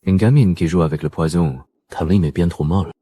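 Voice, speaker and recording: A man's voice: low voice, a little fast, warm tone, a little hiss or hum, natural voice. A man playing a suave, mocking villain in a dubbed sci-fi action cartoon.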